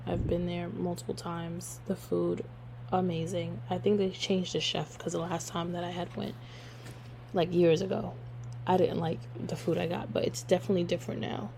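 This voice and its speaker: A young woman hosting her own podcast, hoarse from losing her voice, chatting casually and rambling with lots of fillers. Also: fairly low pitch, normal speed, noisy background. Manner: rambling, filler-heavy, relaxed. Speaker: podcast host. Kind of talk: casual solo podcast chat.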